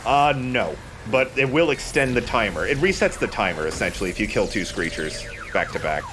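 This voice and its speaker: A man's voice: lowish voice, very animated, a little fast, noisy background. A man giving casual gameplay commentary as a let's play host.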